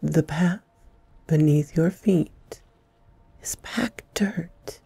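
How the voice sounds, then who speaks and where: A woman hosting a guided meditation, speaking in soothing, deliberate phrases with long pauses and a breathy softness. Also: low voice, dry recording. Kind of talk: slow guided meditation narration.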